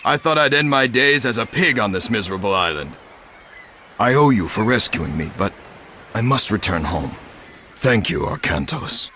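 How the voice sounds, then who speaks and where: An actor playing a weary, grateful seafaring hero in a video game cutscene, speaking earnestly. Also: male voice, lowish voice, lively intonation, moderate pace, bright, forceful tone, slight background noise.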